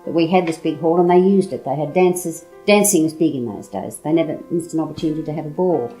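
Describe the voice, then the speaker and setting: An older woman from Sydney with an Australian accent, reminiscing in an articulate, measured way. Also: sits low, dark tone, soft background music, rough recording. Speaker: interviewee, local resident. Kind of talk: casual reminiscing.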